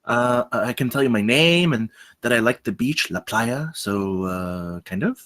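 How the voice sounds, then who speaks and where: An actor playing an earnest, naive rookie campus security cadet in a comedy table read, excitable and eager. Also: male voice, mid-range voice, measured pace. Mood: hesitant. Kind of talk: comedy script read aloud.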